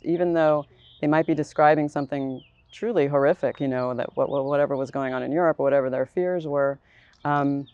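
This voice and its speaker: Woman author on a discussion panel: smooth, articulate, reflective; dry, slightly dull lo-fi audio. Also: sits low, darkish tone, no room sound. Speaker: author, biographer guest.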